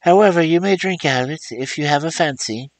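A man voicing a rude, saucy young girl in a read-aloud fairy tale, raising his voice higher. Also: slow, a little mellow, no echo, close to an everyday voice. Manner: pert and saucy. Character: rude, ill-bred proud young girl.

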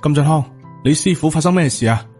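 A man's voice: fairly high pitch, quick pace, a little mellow, natural voice. Man acting proud, hot-tempered Taoist master. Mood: demanding. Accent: Hong Kong Cantonese. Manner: indignant, confrontational. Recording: music under the voice.

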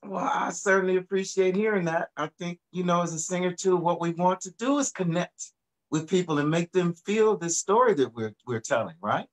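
A middle-aged man, a singer guest, talking in a relaxed, reflective way about his background on a video call from his home studio. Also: high-pitched, normal speed. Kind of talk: casual video-call interview.

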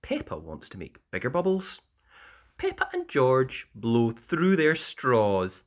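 A woman reading a children's storybook aloud as host, in expressive narration. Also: rumbling low, takes it slowly. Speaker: storybook reader, channel host.

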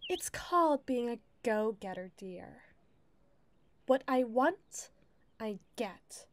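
Woman acting a slow-spoken, obsessive yandere girl. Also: fairly high pitch, big pitch swings, dry recording. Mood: menacing, coy. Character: obsessive, masochistic lovesick yandere girl, needy and taunting. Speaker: voice actor in an audio roleplay. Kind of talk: dramatic ASMR roleplay monologue.

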